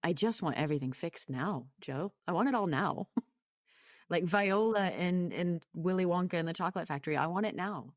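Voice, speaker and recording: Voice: mid-range voice, moderate pace, no echo, studio quality. A podcast guest talking conversationally in an interview, reflective but emphatic.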